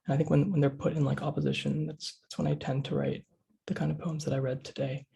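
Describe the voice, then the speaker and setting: A man with a raspy voice full of heavy fry speaks casually on a video-call panel. He feels his way through his thoughts haltingly, in a slightly raised pitch. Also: little pitch movement, warm tone. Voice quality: husky, creaky. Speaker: poet on a reading panel.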